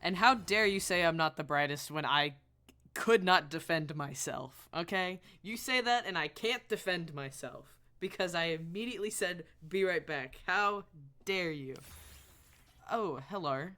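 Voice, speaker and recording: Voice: mid-range voice, lively intonation, measured pace, pushed and bright. A game streamer chatting casually and rambling while thinking aloud on a live stream.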